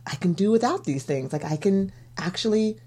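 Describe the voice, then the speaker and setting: A woman's voice: mid-range voice, lively intonation, normal speed, warm tone, husky. A woman hosting a podcast in casual chat, chatty and reflective, laughing often. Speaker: podcast host.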